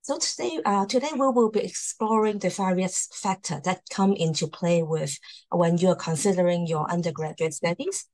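A woman's voice: on the low side, moderate pace, a little mellow. A woman hosting and presenting in a formal slide talk over a video call. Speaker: host, university admissions presenter.

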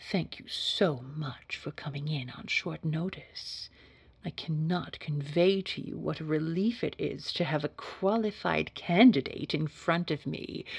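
A woman playing a poised, sinister boss in an audio roleplay, speaking formally in a hushed, husky, measured and quietly controlling way. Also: deep voice, no room sound, full character voice. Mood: confiding. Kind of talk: scripted audio roleplay acting.

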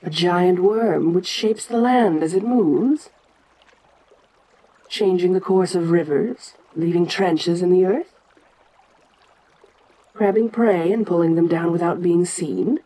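A woman's voice: on the low side, slow, pauses often, dark tone, rough recording. A woman playing an ancient giant kaiju queen in an audio roleplay, proud and curious, with a domineering, stately manner. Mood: curious, commanding. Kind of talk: dramatic audio roleplay addressed to the listener.

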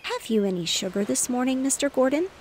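A woman's voice: high voice, big pitch swings, a little fast, slight background noise. Woman whispering as an eager little girl. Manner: soft whispery delivery.

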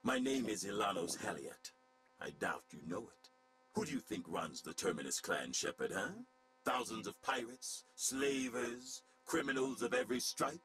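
A man's voice: fairly low pitch, animated, moderate pace, a bit bright, slight background noise. A man playing a proud, vengeful alien pirate warlord in a video game, menacing, theatrical and bitter. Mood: smug, boastful.

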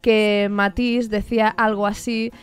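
Clear, smooth-voiced woman. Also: medium pitch, level tone, unhurried. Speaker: radio co-host. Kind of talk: conversational radio questioning. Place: radio studio.

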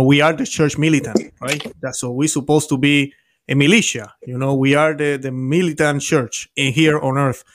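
A man's voice: fairly high pitch, fairly bright tone. A man in his forties, a native Spanish speaker, hosting an informal interview and often checking for agreement as he goes. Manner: informal, halting, seeks agreement with tag questions. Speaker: host, interviewer. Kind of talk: conversational interviewing. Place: home office, indoors, video call. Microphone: desk microphone up close.

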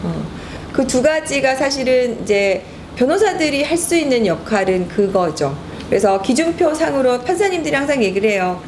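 A middle-aged woman, a lawyer hosting a talk show, explaining rules clearly to a co-host. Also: sits high, big pitch swings, moderate pace, darkish tone, a little hiss or hum, low-quality recording.

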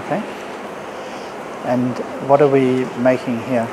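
A middle-aged man chats casually as he hosts a walk-through tour. His pitch is average and his manner agreeable and approving. Clear background noise of a working indoor space surrounds him. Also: flat delivery, takes it slowly. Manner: agreeable, approving short responses. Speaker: host of factory tour. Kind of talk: casual on-site tour chat. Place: indoors in electronics factory rooms and assembly hall. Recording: noisy factory ambience, handheld camera sound.

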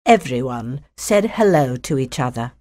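A woman narrating a children's story from a script, in an expressive storybook manner. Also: extremely low pitch, normal speed.

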